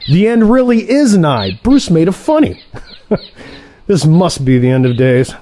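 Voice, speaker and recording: A man's voice: a little high, sing-song, a little slow, mellow, a little hiss or hum. An actor playing a cocky younger male assassin who banters with teasing sarcasm in a comic audio play. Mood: mocking.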